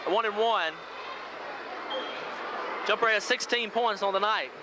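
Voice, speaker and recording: High-pitched man calling basketball on radio. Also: a little slow. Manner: clipped short phrases, excited on big plays. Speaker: radio play-by-play announcer. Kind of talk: live basketball play-by-play commentary. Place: high school gym. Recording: noisy 1980s local FM radio audio from VHS. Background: loud crowd, bouncing ball, gym noise.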